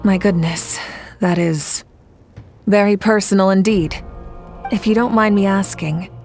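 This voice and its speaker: A woman voicing a calm, commanding leader. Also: mid-range voice, soft background music, natural voice. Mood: steady. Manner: measured, reassuring, authoritative. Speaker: leader of a nation at war. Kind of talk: video game voice acting.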